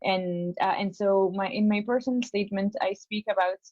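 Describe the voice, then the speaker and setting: A woman's voice: medium pitch, normal speed, darkish tone, no room sound, muffled recording. Young woman from Spain, hesitant. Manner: hesitant, many fillers and restarts. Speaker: law school applicant. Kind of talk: casual consultation call.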